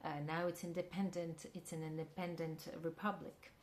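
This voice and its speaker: A woman with a Russian accent, a filmmaker and actress, answering an interview question thoughtfully and pausing often to find her words. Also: fairly low pitch, flat delivery. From Kyrgyzstan. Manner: thoughtful, searching for words with many pauses.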